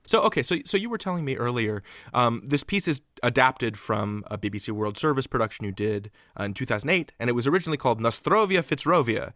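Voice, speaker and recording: A man with a mid-pitched voice puts questions as a podcast host. His talk is conversational and recorded very dry. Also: sing-song, quick pace, a bit bright, dry recording.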